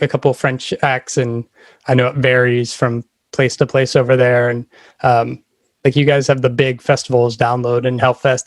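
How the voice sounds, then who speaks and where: A man's voice: mid-range voice, dry recording. A man hosting a podcast, asking interview questions in an informal, slightly hesitant conversational way.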